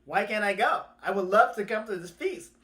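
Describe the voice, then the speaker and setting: A woman voicing a sly, pushy male tortoise in an animated folktale, talking insistently to persuade. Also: mid-range voice, fairly quick, close to an everyday voice. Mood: curious, pleading. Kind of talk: animated oral storytelling.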